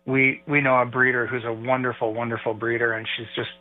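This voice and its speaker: A man's voice: mid-range voice, little pitch movement, fairly quick. Man, phone-in guest, friendly storyteller, tinny phone line, faint music. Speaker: guest caller. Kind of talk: casual radio interview.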